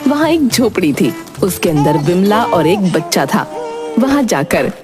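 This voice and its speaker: A woman's voice: on the low side, sing-song, measured pace. A woman narrating a scripted folk tale as the storyteller. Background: music.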